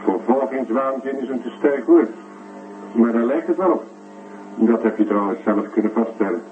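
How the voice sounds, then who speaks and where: A man's voice: mid-range voice, moderate pace, faint music behind, natural voice. A man acting a skeptical, reassuring male doctor in a science fiction radio drama. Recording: tinny, slightly distant old radio recording.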